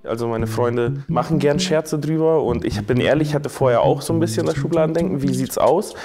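A man's voice: mid-range voice, fairly quick. Young man talking over guitar music. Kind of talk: informal interview testimonial. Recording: clear guitar music behind. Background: plucked guitar music.

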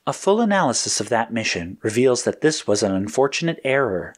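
A man voicing a polite mission-control computer in an audio story, formal, precise and measured. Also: mid-range voice, big pitch swings. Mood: matter-of-fact. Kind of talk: acted audio story dialogue.

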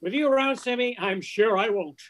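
A man's voice: high voice, normal speed, heavy background noise, close to an everyday voice. An older man playing a slangy, exclamatory wisecracking fellow in an amateur old-time radio play. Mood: amused, easygoing. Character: exasperated wisecracking working man caught in hoax. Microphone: computer on video call.

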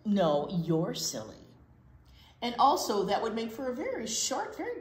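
An American woman in her sixties reads a picture book aloud as a children's storyteller, expressive and explaining things simply. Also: a little high, normal speed. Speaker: children's storytime reader at a library. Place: library children's room, indoors. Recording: roomy, echoey indoor sound.

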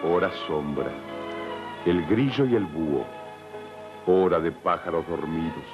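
Male vintage radio announcer, very low, dull archive sound with clear music. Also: a little slow. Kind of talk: old-time radio announcing.

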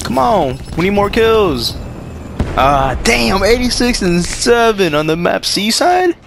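Excitable young man calling his gameplay. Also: slow pace, gravelly, background noise. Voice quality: deeper than usual after waking. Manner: slangy, excitable, casual. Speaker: gaming YouTuber. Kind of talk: live gameplay commentary.

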